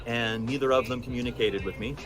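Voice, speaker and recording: A man's voice: mid-range voice, fairly even intonation, a little fast, music in the background, low-quality recording. A man in his forties, a voice actor being interviewed, telling stories in an enthusiastic, emphatic conversational way. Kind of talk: animated conversational storytelling.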